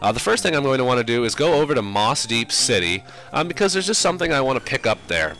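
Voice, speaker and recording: A man's voice: medium pitch, sing-song, fast, fairly bright tone, faint music behind. A young man with a cold, sounding sinusy, casually rambling through let's play commentary with lots of fillers. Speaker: game commentator.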